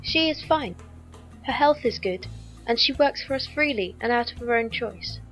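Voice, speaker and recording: An actress playing a loyal, composed squire in an audio drama, speaking formally and precisely. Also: female voice, on the high side, expressive, moderate pace, a bit bright, faint music behind, low-quality recording. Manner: formal, precise, stilted wording.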